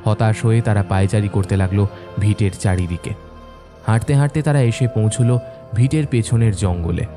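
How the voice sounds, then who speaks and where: A man narrating a scripted horror story in a measured, suspenseful, dramatic manner. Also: fairly low pitch. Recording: soft music bed behind narration.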